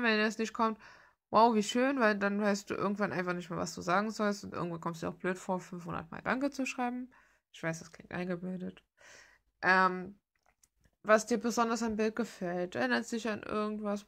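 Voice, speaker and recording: A woman's voice: mid-range voice, vocal fry, a bit bright. A young woman chatting casually in a rambling art vlog, blunt and slangy with some swearing. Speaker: video creator.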